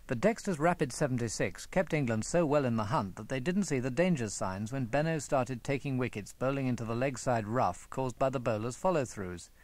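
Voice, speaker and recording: A man reading a scripted historical narration about sport in a measured documentary style. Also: mid-range voice, lively intonation, a little hiss or hum.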